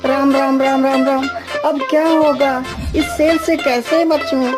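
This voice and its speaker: Woman voicing a fretful, slow-talking village farmer. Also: high voice, flat delivery, over loud music, voice pushed up. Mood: terrified. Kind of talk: Hindi cartoon story dialogue.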